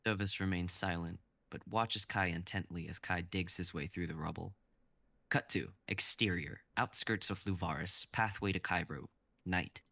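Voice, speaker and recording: Brief: male narrator, low gravelly voice, even script reading, dry sound. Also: moderate pace, dry recording. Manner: even, descriptive, with stage directions.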